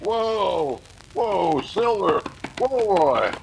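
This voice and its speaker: An actor playing a commanding, steady masked western hero with a formal manner, in 1930s radio drama style. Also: male voice, high voice, gravelly, background noise, exaggerated voice. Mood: rousing. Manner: formal, deliberate.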